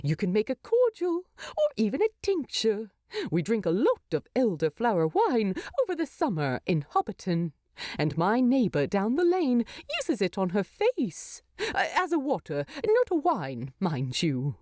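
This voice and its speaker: A fussy little storybook hobbit gentleman, voiced by a woman, proud and chatty. He explains things primly and earnestly, with a pitch that leaps about in a dry, close recording. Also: huge pitch swings, unhurried, no echo. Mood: cheerful, chatty.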